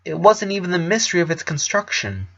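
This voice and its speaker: A man reading scripted fiction aloud as an expressive narrator. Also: high voice, fast, gravelly, lo-fi sound.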